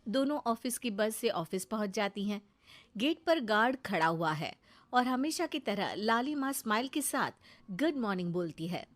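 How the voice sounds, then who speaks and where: A woman's voice: medium pitch, lively intonation, moderate pace. A woman narrating a scripted story as a storyteller.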